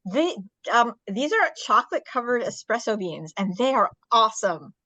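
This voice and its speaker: A woman's voice: fairly high pitch, very animated, moderate pace, pushed and bright, no echo. A woman hosting a podcast, chatty and informal in casual conversation with guests. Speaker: podcast host. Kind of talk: casual interview chat.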